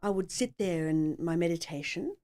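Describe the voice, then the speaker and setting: A woman's voice: on the low side, lively intonation, moderate pace, warm tone. A middle-aged woman with an Australian accent, telling her story casually and in a rambling way as a podcast guest.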